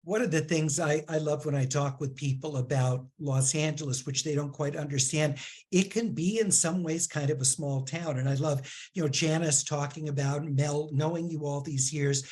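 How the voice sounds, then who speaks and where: A man interviewing a guest in a live onstage book conversation, speaking in a measured way with fillers. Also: a little high, steady tone, darkish tone.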